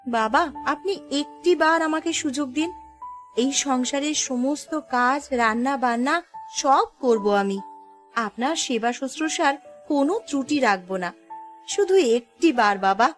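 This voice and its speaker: A woman voicing a gentle, respectful, earnest young village woman in a cartoon, polite and pleading. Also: high voice, measured pace, soft background music. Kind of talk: Bengali cartoon voice acting.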